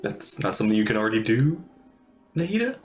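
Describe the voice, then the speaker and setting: Woman streamer, casual game reactions, very low pitch with animated intonation. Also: fast talker. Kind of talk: casual chat, reaction commentary. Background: faint game music.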